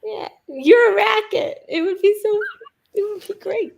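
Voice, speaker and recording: High-voiced woman trading playful puns. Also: unhurried, gravelly, a little hiss or hum. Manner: playful, hesitant with fillers and giggles. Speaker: pun contest competitor. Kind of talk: quick-fire pun banter.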